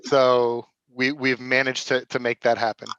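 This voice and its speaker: A man's voice: mid-range voice, level tone, a bit bright, smooth, dry recording. Casual, stumbling male host for a live theater stream; dry, dull call audio. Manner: halting, many restarts and fillers, laughs often. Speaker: host of a theater company's reading. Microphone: video call.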